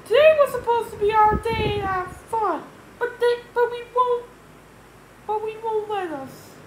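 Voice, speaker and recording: A woman voicing an excitable, indignant schoolboy in a read-aloud children's story. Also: very high-pitched, measured pace, roomy sound, slight background noise, voice pushed up, low-quality recording. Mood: excited, indignant. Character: excitable, indignant schoolboy George, comic maker.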